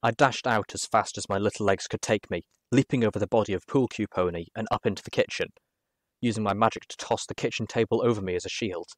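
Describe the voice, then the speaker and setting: A man reading a first-person adventure story aloud as narrator, in an expressive, dramatic manner. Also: fairly low pitch, fast talker, slight background noise.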